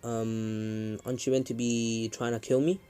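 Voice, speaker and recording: A man's voice: medium pitch, level tone, a little slow, mellow, smooth, faint music behind, lightly acted. A man voicing a young ninja boy in a story, casual, friendly and a bit cheeky. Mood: reassuring, puzzled. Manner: casual, slangy.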